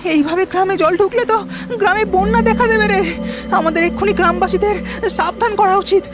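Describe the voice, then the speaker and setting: A woman's voice: extremely high pitch, fairly quick, over music. A woman voicing an anxious male village farmer in a cartoon story, speaking urgently. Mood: alarmed. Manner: urgent, pleading.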